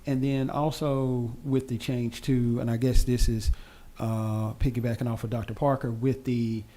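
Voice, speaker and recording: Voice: mid-range voice, level tone, moderate pace, dark tone. A board member asking careful, polite questions at a meeting.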